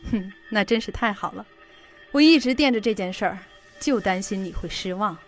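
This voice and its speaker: A woman's voice: mid-range voice, moderate pace, faint music behind, natural voice. An actress in an audio drama playing a chatty, fretful middle-aged mother who sells insurance. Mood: caring, brisk. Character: fussy, protective middle-aged working mother, insurance saleswoman.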